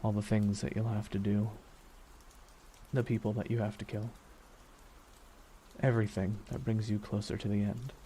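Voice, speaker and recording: A man playing a brooding, wistful villain in an intimate ASMR roleplay, speaking slowly and deliberately with long pauses and quiet menace. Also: fairly low pitch, level tone, lightly acted. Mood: resolute, dark. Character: villain reflecting beside his dying superhero nemesis, wistful, menacing. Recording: noticeable rain noise throughout. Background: rain falling on a surface.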